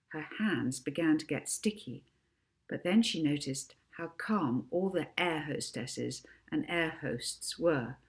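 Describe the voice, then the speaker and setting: Low-voiced woman reading a children's story. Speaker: author reading own book. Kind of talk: expressive children's storybook narration.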